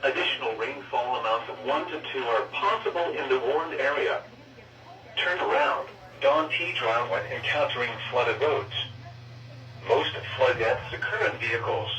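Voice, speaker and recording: A synthetic male voice delivers formal emergency warnings over a weather radio. The pitch swings noticeably through the list-like phrasing. The sound is muffled and echoes in a room, with clear noise over it. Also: recorded from a distance. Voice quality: synthetic text-to-speech voice. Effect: weather radio broadcast. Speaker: automated weather radio announcer. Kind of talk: scripted emergency warning read-out. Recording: roomy, muffled, noisy weather radio capture.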